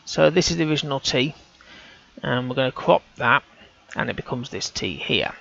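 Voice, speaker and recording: A man's voice: medium pitch, animated, moderate pace, creaky, bright, forceful tone, highs cut off. A man talking through on-screen images, casual and hesitant, often restarting his sentences as he thinks aloud. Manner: hesitant, self-correcting, casual. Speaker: amateur researcher.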